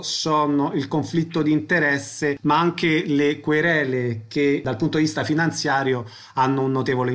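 High-pitched male radio interviewer. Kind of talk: prepared interview questions.

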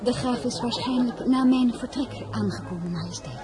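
An actress as an outspoken young princess. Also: female voice, a little high, normal speed, close to an everyday voice. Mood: formal, guarded. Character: frank young woman, a princess at Napoleonic court. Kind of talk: radio play acting.

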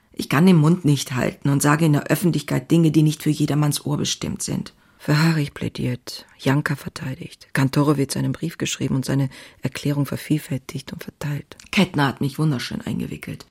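An actress playing a passionate, restless young woman writer who confides her diary in an intimate, confessional, often breathy way. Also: low-pitched, fairly even intonation, normal speed, darkish tone, full character voice. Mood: agitated, bitter. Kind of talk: dramatic diary reading.

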